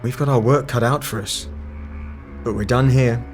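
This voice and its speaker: A man acts a male time agent in an audio drama, with a medium-pitched voice. He is serious and analytical, speaking with terse, matter-of-fact authority. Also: fairly even intonation, normal speed, faint music behind, close to an everyday voice. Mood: grave.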